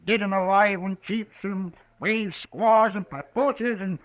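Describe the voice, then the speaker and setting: A man's voice: high voice, fairly even intonation, takes it slowly, a bit bright, no room sound. An actor playing a cranky, boastful old American frontiersman of about eighty in a radio western, rambling and stammering as he goes. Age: elderly character of about eighty. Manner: rambling, stammering, repeats himself.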